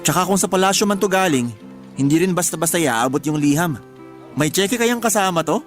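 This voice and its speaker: An actor playing a hot-headed, joking husband with a Filipino accent in animated, colloquial radio drama dialogue. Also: male voice, high voice, a little fast, soft background music. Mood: playful, teasing. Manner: animated, colloquial, exasperated or teasing.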